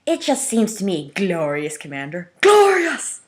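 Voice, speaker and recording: A woman puts on a malicious male robot air commander from a fan fiction story. He is insolent and sly, taunting with drawn-out words and false humility. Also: mid-range voice, wildly swooping pitch. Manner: taunting, mock-submissive, drawn out.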